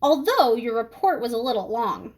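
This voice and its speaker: A strict woman schoolteacher from a children's storybook, played by a woman reader. She speaks in a high, lively voice, measured and polite, with controlled authority. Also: slightly rough. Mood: pointed praise. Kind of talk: children's storybook read-aloud.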